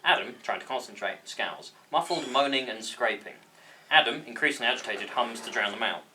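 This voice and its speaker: A man's voice: medium pitch, animated, brisk, background noise. A man informally reading out stage directions at a table read, with asides to the actors.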